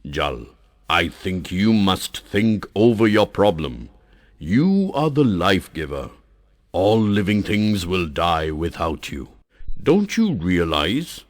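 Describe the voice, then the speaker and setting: Man doing a gruff old mountain, low, slow and scolding, storybook voice. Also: big pitch swings, exaggerated voice. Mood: stern. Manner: scolding, booming. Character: stern angry old male mountain.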